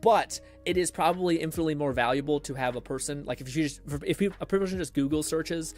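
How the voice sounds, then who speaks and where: A man's voice: on the high side, fast talker, a little mellow, soft background music. A man live streaming, explaining things in a casual, rambling way with self-deprecating swearing. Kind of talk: casual rambling stream talk with tutorial advice.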